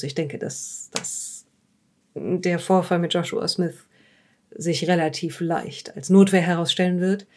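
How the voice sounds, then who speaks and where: A woman playing a composed, formal police psychological evaluator in an audio drama, speaking in measured, careful phrases and politely dismissive. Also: lowish voice, a little mellow. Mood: polite, businesslike. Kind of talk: improvised audio drama dialogue.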